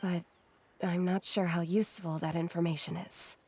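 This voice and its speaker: A woman voicing a quiet, shy young woman who speaks hesitantly and modestly. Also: fairly low pitch, flat delivery, normal speed, slight background noise, close to an everyday voice. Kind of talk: game voice acting.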